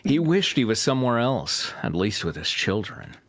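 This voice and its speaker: A man's voice: medium pitch, very animated, normal speed, background noise. A man reading fanfiction aloud as a host, breaking off for joking banter.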